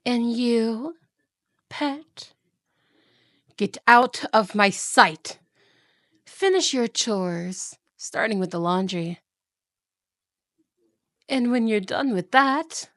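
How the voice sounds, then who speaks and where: A woman's voice: mid-range voice, fairly bright tone, no echo, studio quality. A woman playing a cruel, jealous evil queen and stepmother in a fantasy audio roleplay, with an imperious, menacing, theatrical delivery.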